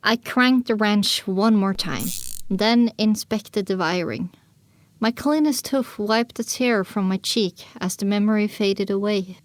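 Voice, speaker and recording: A woman playing a young pony mare who tells her own story in earnest, measured audiobook narration. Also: medium pitch, no echo, close to an everyday voice. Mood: reflective, earnest. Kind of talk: scripted first-person audiobook narration.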